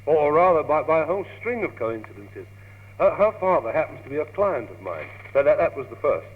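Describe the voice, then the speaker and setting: An actor with a British accent playing a naive, lovestruck young gentleman in a radio comedy, stammering earnestly. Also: on the high side, very animated, a little fast, slightly rough. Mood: eager. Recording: tinny, hissy, humming old radio tape, reverberant.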